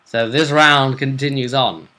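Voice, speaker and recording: Male vidcast host, medium-pitched, informal and joking, swears freely while talking through role-play game results. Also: fairly even intonation, unhurried, pushed and bright. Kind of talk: casual chat recapping a role-play game tournament.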